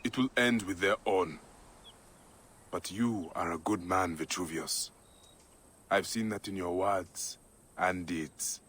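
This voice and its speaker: An actor playing a stern, protective Egyptian warrior in a video game, speaking in a measured, resolute way. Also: male voice, low-pitched, slight background noise. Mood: grave, determined. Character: stern, protective adult male Egyptian warrior, calm avenger. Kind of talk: video game cutscene dialogue and muttered asides. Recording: voice under loud game music.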